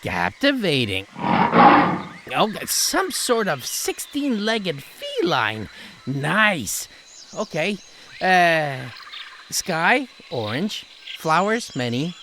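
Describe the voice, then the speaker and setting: An actress playing a cocky, easily bored alien tourist reporter in a comedic audio drama, flippant, impatient and theatrical. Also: female voice, deep voice, huge pitch swings, extremely slow, a bit bright, slight background noise, full character voice. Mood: bored, listing.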